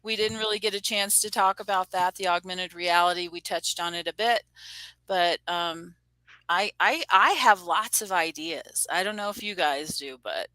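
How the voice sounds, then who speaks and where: A woman's voice: fairly low pitch, moderate pace, pushed and bright, dry recording, poor audio. A woman hosting a casual online chat, rambling with many fillers and restarts. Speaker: show host. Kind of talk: casual video-call chat. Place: home room on a video call.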